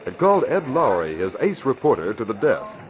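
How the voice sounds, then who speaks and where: An actor as a brisk, authoritative announcer narrating a scripted radio drama. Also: male voice, mid-range voice, sing-song, natural voice.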